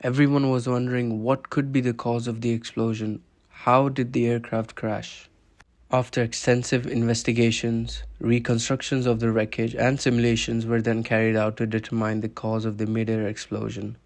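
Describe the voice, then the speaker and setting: A man's voice: medium pitch, flat delivery, normal speed, a little mellow. A man narrating a scripted documentary, explaining events step by step.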